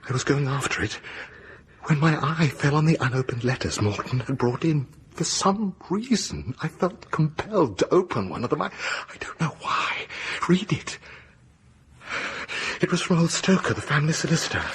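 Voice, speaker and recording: An actor playing an anxious, haunted gentleman in a radio horror drama, recounting events hesitantly and intensely, with hushed, breathy passages. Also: a little high, very animated, moderate pace, a little hiss or hum. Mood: agitated, urgent. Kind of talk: full-cast radio horror drama acting.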